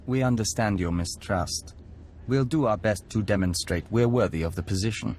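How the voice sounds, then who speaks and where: A man voicing a calm, polite diplomat who speaks formally and evenly for an insect hive mind in a video game. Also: on the low side, slight background noise, natural voice. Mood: polite, deferential. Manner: formal, measured, speaks of himself as we.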